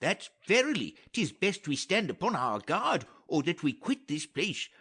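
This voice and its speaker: A man's voice: a little high, very animated, measured pace, a bit bright, breathy edge, voice pushed up, heavily acted. A man playing a loyal, earnest elderly royal counsellor in a dramatic Shakespeare audiobook reading. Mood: earnest alarm.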